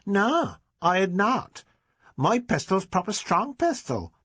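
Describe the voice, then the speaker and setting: Cheeky little dorset farm boy trying to sound tough, squeaky high voice, lively. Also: slow pace, husky, no room sound, full character voice. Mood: defiant. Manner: high treble, rustic dialect. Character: small tearful village boy, cheeky, playing tough.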